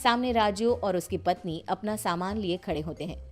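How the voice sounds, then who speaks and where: A woman's voice: mid-range voice, moderate pace, faint music behind. A woman narrating a family story as scripted storytelling.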